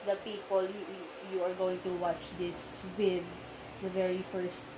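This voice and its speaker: A woman's voice: fairly low pitch, little pitch movement, a little slow. A woman with a Filipino accent in casual talk about a film, chatty and finishing her partner's sentences. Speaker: movie discussion co-host. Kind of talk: casual Taglish chat. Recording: echoey room with background noise.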